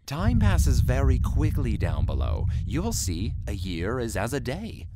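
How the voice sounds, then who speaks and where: An actor playing the devil disguised as a polite salesman, with a formal, measured delivery, proud and prone to weary sighs. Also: mid-range voice, huge pitch swings, very noisy. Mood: haughty. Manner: haughty and indignant, sighs with weary patience. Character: suave scheming male devil, proud and theatrical. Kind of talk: audio drama acting.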